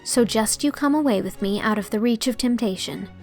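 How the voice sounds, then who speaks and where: A woman voicing a bright, romantic young heroine in an audiobook, with earnest, emphatic delivery. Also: fairly high pitch, a little fast, soft background music, natural voice. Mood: firm. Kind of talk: audiobook character reading.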